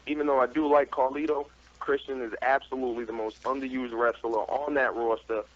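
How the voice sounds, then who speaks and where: A man's voice: medium pitch, flat delivery, fairly quick. A man from New York with an American accent, chatting casually and enthusiastically as a wrestling fan on a radio show. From New York, United States. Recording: noisy, dull radio call-in audio.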